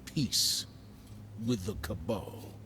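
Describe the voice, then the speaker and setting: An actor playing a stern, grave military commander in a video game, authoritative and measured. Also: male voice. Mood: grave, guarded. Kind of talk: game cutscene and mission briefing. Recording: clear rumbling noise underneath.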